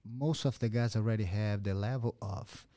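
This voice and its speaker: Low mellow-voiced host, halting phrasing. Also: male voice. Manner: searching, halting phrasing with non-native grammar. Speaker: podcast host and jiu-jitsu instructor. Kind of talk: interview conversation. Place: podcast studio in a martial arts gym. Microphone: boom-arm studio mic with headphones.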